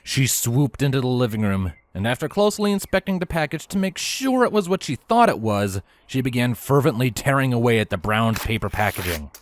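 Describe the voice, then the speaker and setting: A man narrating a story from a script in an expressive, animated way with theatrical emphasis. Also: medium pitch, fairly quick, hardly any pauses, dry recording. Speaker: audiobook-style narrator of fan fiction.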